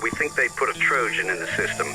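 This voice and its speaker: A man playing a businesslike intelligence officer giving crisp mission instructions in a video game. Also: on the low side, lively intonation, quick pace, over music, lightly acted, rough recording. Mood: focused, urgent. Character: businesslike male intelligence officer guiding an agent. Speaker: mission controller.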